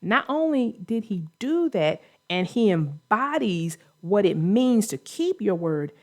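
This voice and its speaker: A woman's voice: mid-range voice, sing-song, slow pace. A woman hosting a casual discussion of a children's story for young listeners, speaking in an earnest, emphatic way with a moral point. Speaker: host and children's book narrator.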